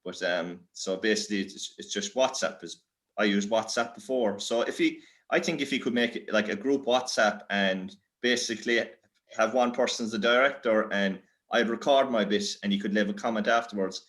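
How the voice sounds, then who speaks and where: A man's voice: on the low side, moderate pace, a bit bright. An Irish man chatting informally and slangily on an online group call. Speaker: workshop speaker. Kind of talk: casual, informal chat.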